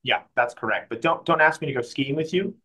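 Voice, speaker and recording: Interview guest, man in his thirties, quick and chatty, mid-pitched. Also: sharp tone. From Mexico. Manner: fast, chatty, self-correcting. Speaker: guest, private client advisor. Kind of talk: conversational interview.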